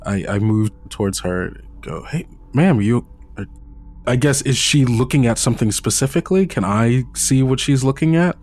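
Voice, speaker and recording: A man's voice: medium pitch, lively intonation, moderate pace, darkish tone. A man playing a tabletop game, hesitant, full of restarts and filler words. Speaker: player. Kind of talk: tabletop roleplay chat.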